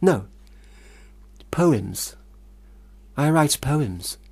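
An actor in a period radio drama playing a boastful but fragile young failed poet, husky, halting and formally earnest. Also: male voice, on the high side, animated, a little mellow, heavily acted. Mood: defensive, earnest.

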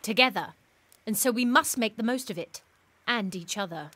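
An actress playing a kind, teasing castaway peacemaker in a Regency audio drama, playful and coaxing. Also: female voice, fairly high pitch, moderate pace, sharp tone. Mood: earnest, coaxing.

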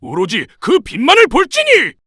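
A man playing a fanatical blind cultist, declaiming fervently in a sharp, gravelly voice in a dark fairy-tale webtoon. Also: extremely high pitch, huge pitch swings, moderate pace, no room sound, studio quality, exaggerated voice. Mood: fervent, ecstatic. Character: fanatical blind male cultist worshipping a goddess.

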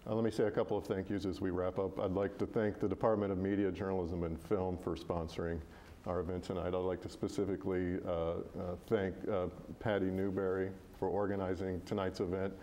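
Low-voiced man moderating campus event. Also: level tone, a little fast, mellow, a little hiss or hum. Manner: friendly, formal thank-yous. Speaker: moderator, professor.

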